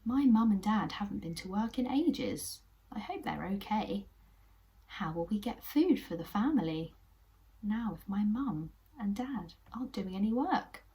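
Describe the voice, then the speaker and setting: A woman's voice: medium pitch, lively intonation, unhurried, warm tone, distant mic, lightly acted. Woman voicing a sensible little woodland puppet child. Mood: worried. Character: young woodland puppet creature, sensible and caring.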